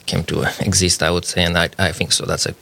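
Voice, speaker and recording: A man's voice: sits low, little pitch movement, fast, vocal fry, pushed and bright. A man in his forties with a Polish accent, speaking English as a second language as an earnest radio guest who searches for words. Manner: earnest, searching for words, non-native phrasing. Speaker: guest, scientist. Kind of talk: radio studio conversation. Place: radio studio.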